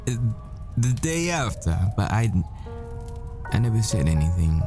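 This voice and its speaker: Boyfriend roleplay lead, male actor: haunted hitman living as a professor, very deep male voice, measured delivery, intimate and smitten. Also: very animated, music in the background. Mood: fond, teasing. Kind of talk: ASMR boyfriend roleplay, dramatic monologue.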